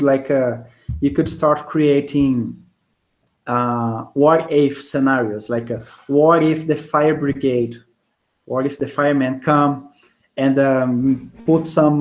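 A man's voice: medium pitch, takes it slowly. Brazilian man lecturing casually on a video call. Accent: Brazilian Portuguese. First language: not English. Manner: relaxed, conversational, many fillers and restarts, gestures with hands. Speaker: university lecturer. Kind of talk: casual online lecture answering student questions. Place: home office, indoors, small room. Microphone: wired earphone mic on a video call.

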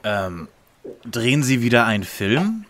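A man's voice: medium pitch, big pitch swings, measured pace, fairly bright tone, slight background noise. An actor playing a pompous, brainy boy detective with bookish diction in a parody audio drama. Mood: self-important.

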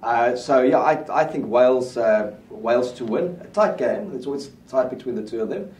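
A middle-aged rugby pundit talking in a small studio, confident and steady as he lays out his predictions. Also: mid-range voice, normal speed, recorded from a distance, slight background noise. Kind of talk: casual rugby chat. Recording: roomy studio sound, mic set back.

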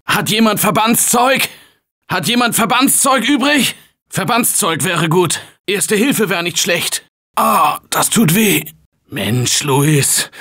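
A man voicing an earnest, panicky office guy surviving a zombie apocalypse in a video game, husky and emphatic in colloquial short barks. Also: sits high, sharp tone, exaggerated voice. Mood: pained, despairing.

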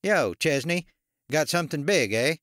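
Man voicing a wry, savvy older paramedic, raised pitch. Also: lively intonation, fairly bright tone, no echo, clean studio sound. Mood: wry, brisk. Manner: brisk, matter-of-fact, wry. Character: savvy late-fifties paramedic and medical examiner from Maine. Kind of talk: audiobook character dialogue.

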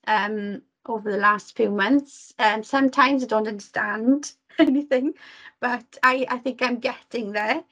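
A woman's voice: fairly high pitch, fairly bright tone, poor audio. A woman from Wales giving an earnest work report on a video call, with frequent ums and restarts. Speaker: Welsh language officer reporting to committee.

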